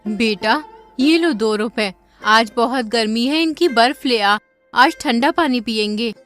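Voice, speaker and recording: A woman voicing a gentle, weary poor mother in a cartoon story. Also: fairly high pitch, a little slow, soft background music. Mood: soothing. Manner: soothing, weary.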